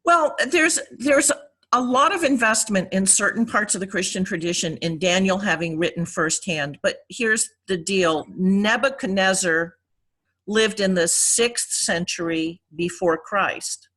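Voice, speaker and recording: Woman teaching in an informal, deliberate way. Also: medium pitch, a bit bright. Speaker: priest teaching a Bible class.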